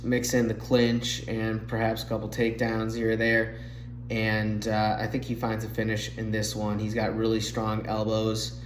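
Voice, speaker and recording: A man's voice: on the low side, slight background noise. Nasal man breaking down fight picks. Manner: chatty, fillers, slightly rambling analysis. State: nasal from recent illness. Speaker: MMA podcast host making fight picks. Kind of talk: casual solo fight breakdown. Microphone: phone mic. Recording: echoey room on phone mic.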